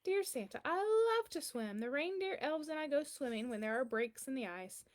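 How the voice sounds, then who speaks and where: A woman's voice: high voice, animated, normal speed. A woman voicing a kindly, very old man who answers a child's letters in a storybook, reassuring and explanatory. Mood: cheerful, gentle. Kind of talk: children's storybook read-aloud.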